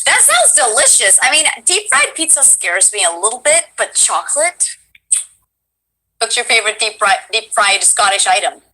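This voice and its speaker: Excitable woman stream host, lively pitch that jumps around, bright tone. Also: moderate pace, low-quality recording. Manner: bubbly, excitable, gushing. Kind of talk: casual stream chat.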